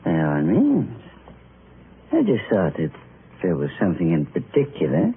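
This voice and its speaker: An actor playing a courteous, sly pawnshop keeper in an old radio drama, speaking formally and with insinuation. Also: male voice, sits low, wildly swooping pitch, normal speed. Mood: courteous, intriguing. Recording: noisy, narrow-band old radio recording.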